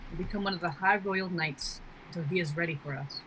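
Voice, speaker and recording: A woman's voice: fairly low pitch, a little fast, a bit bright, a little hiss or hum, close to an everyday voice, rough recording. A woman playing a determined young knight trainee, earnest, in an amateur fantasy script reading.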